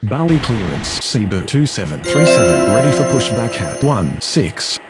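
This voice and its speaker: A man's voice: fairly low pitch, very animated, normal speed, over loud music. A man voicing a procedural airport radio operator in a game, using formal aviation phraseology. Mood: businesslike. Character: procedural male airline pilot and controller radio voice. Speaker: air traffic control radio voice.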